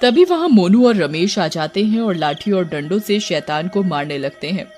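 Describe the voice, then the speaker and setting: A man reads scripted story narration in a high-pitched voice. Faint music plays behind him as he tells the tale. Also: lively intonation, moderate pace, a little mellow.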